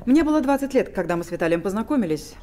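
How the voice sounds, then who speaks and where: An actress in her forties playing a poised, bitter abandoned wife in a film drama, with a measured, theatrical delivery. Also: medium pitch, expressive, mellow, lightly acted. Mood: wistful, bitter. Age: 40s.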